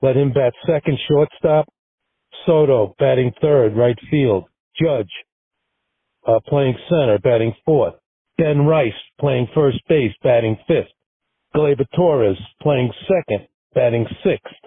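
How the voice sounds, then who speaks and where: Husky man leaving a phone voicemail. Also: medium pitch, takes it slowly, no room sound. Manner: listing items, loud and plodding. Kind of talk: voicemail message. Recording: tinny phone-line voicemail.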